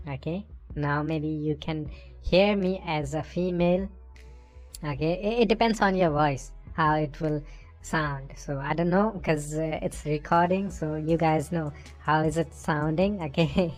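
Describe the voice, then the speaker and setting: A man speaking casually and haltingly through a voice changer set to female, giving a software tutorial. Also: steady tone, soft background music. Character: man's voice shifted to female by voice changer. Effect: pitch-shifting voice changer.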